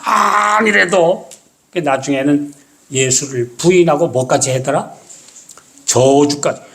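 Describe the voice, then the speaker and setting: A man preaching with a voice pitched a little above the usual male range. He delivers his sermon as a pastor, leaning into his words and stretching out phrases for emphasis. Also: fairly bright tone. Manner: emphatic storytelling with dramatic slow stresses.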